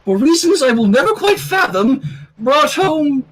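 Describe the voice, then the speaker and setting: Dainty haughty teen girl, played by a man, high and theatrical, swooping delivery, faint noise. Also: sing-song, exaggerated voice, low-quality recording. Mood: reluctant, mortified. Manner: arch, theatrical, drawn-out delivery. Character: refined teenage girl, dainty, haughty and dramatic.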